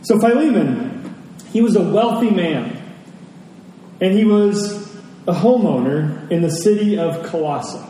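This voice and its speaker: A man preaching a storytelling sermon to a congregation, deliberate, with dramatic pauses and emphasis. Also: high-pitched, animated, dark tone. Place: large indoor church space. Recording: very echoey hall, distant mic, faint noise.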